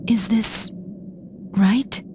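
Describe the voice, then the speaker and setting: An actress playing a gentle but resolute older sister in an English anime-style video game, speaking in a measured, earnest, formal way. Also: female voice, on the high side, steady tone, noisy background.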